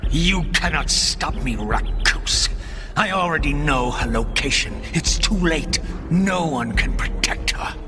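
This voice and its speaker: An actor playing an arrogant traitor sorcerer, a man who mocks with drawn-out theatrical menace. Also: fairly high pitch, expressive. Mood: smug menace. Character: arrogant sneering traitor sorcerer, male villain. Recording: loud music behind the voice.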